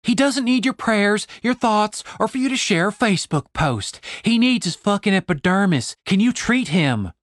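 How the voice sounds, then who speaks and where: A man voicing a sleepless, blunt young woman who shouts in exasperation. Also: sits high, big pitch swings, moderate pace, few pauses, dry recording, studio quality, voice pushed up. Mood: shouting.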